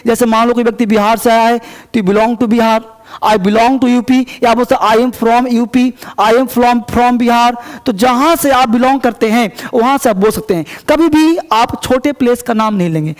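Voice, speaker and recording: Male teacher with a very high voice, recorded with a long reverb. Explains step by step and slows down for example sentences. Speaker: English teacher. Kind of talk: spoken English lesson.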